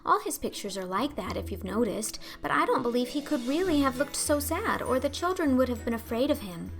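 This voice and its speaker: A woman's voice: high voice, sing-song, a little fast, gravelly, faint music behind, exaggerated voice. A woman voicing a dreamy, imaginative orphan girl in an audiobook, talking earnestly and effusively. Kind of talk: audiobook character acting.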